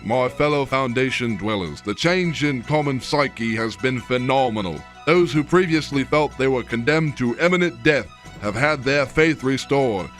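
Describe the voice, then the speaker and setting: A man's voice: medium pitch, fairly bright tone, natural voice. A man playing a pompous, florid field reporter in a satirical radio drama's mock newscast. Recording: faint music under the voice.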